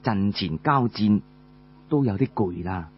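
An aged matriarch of a warrior clan, played by a male storyteller speaks with a Cantonese accent. The voice drops low and quiet, measured and calmly commanding. The audio is dull and lo-fi. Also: breathy edge. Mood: calm, considerate. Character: aged matriarch of a general's clan, calm, authoritative.

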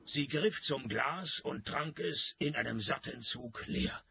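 An actor playing a weary, sardonic private detective in a radio play, with a dry, sarcastic delivery. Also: male voice, mid-range voice, slow pace, gravelly, bright, forceful tone, slight background noise, full character voice. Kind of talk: radio play acting with first-person narration.

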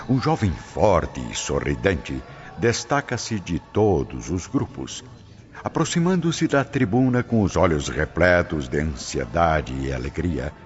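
Very deep-voiced solemn male narrator. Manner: solemn, measured. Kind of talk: dramatic scripted narration. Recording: slightly muffled with loud background music.

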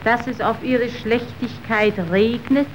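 An actress playing a compassionate, righteous young woman in a radio drama, declaiming solemnly and deliberately. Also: on the high side, level tone. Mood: solemn, indignant. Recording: dull, noisy old radio recording.

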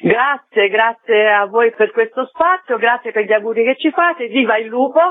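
A woman's voice: a little high, moderate pace, no echo. A woman speaking as an interview guest in formal, winding phrases, over narrow telephone-like sound. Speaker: interviewed guest, event organiser. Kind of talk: discursive radio interview talk.

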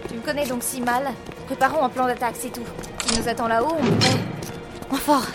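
A woman acting a bold, quick-witted adventurer sister in an audio drama, dry and commanding. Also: high-pitched, fairly even intonation, lightly acted. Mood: wry, determined. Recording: loud music behind.

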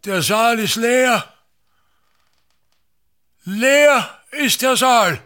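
A man's voice: very high-pitched, lively intonation, drawn-out words, bright, forceful tone, exaggerated voice. An older man playing a pompous, bitter, grandiose actor-manager and father in a radio play, with declamatory, ruminating delivery. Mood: stricken. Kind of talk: German radio play acting.

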